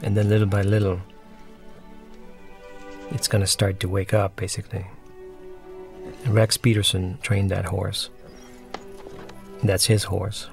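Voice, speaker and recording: A man's voice: sits low, flat delivery, measured pace, gravelly, long pauses. A man giving casual, reflective commentary on a film he made, explaining and rephrasing as he goes, with the film's soundtrack underneath. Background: film music and dialogue.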